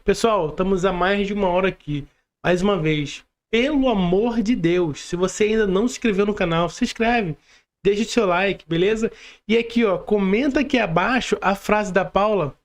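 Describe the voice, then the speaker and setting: High-voiced Brazilian male podcast host. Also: moderate pace. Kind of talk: casual podcast hosting.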